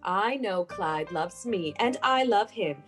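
A woman's voice: mid-range voice, animated, takes it slowly, faint music behind. A woman playing a lovesick, anxious, clinging young woman with a hesitant, fervent manner in a classic radio suspense play. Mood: dreamy, devoted. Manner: hesitant, repeating words, fervent.

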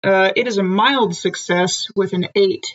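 A woman's voice: medium pitch, measured pace. A woman playing a tabletop game, thinking aloud as she weighs her options. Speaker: player. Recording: slightly dull lo-fi mic.

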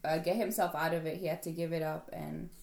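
Low-voiced woman from Hong Kong. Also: level tone, brisk, creaky, reverberant, a loud crowd. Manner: hesitant, many restarts and fillers. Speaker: interviewee. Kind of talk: casual interview conversation.

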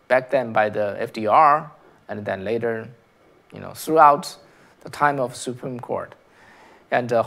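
A man from China with a Chinese accent, hosting a political commentary stream and reading out viewer comments, talking in halting bursts with restarts and rhetorical questions. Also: mid-range voice.

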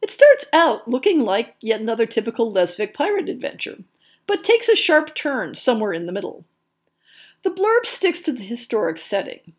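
A woman hosting a podcast, reading scripted narration in a measured, articulate and expressive way. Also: fairly high pitch. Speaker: podcast host. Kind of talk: scripted narration, reading book listings and blurbs.

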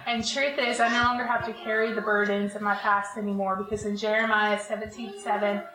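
Woman, testimony speaker, solemn and even delivery, flat intonation, echoey room with background noise. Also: medium pitch, brisk. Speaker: testifying program member. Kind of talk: scripted personal testimony.

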